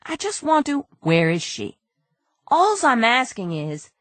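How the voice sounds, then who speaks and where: Woman plays a sniveling two-bit crook, high and whiny, rough grammar, nervous. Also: wildly swooping pitch, slow pace, no echo, heavily acted. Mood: whiny pleading. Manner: whining, pleading, rough grammar.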